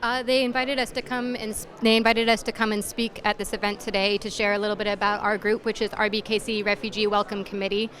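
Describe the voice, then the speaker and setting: A woman representing a refugee committee, speaking in a measured way. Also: mid-range voice, flat delivery, fairly bright tone.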